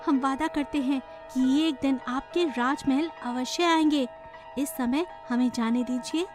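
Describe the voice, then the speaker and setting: A woman voicing a polite, gentle young fairy princess in a children's cartoon. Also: sits high, a little slow, soft background music. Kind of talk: children's cartoon story acting.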